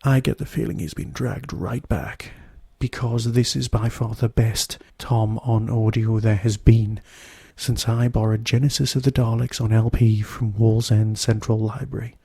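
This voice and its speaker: Male podcast reviewer, gravelly low-key warmth in the tone, dry close recording, wry emphatic delivery. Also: steady tone, unhurried, hardly any pauses, dry recording. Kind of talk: solo podcast review monologue.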